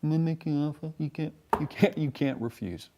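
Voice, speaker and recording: A man imitating an older mob boss, hushed, coaxing and menacing. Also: fairly high pitch, quick pace, a little hiss or hum, voice pushed up, lightly acted.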